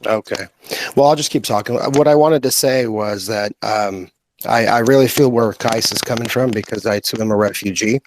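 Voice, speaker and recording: A man with an American accent giving earnest opinions in a casual, halting way in an online group chat. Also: on the low side, background noise. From born in Russia, raised in the United States from age five. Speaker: guest speaker in online group audio chat.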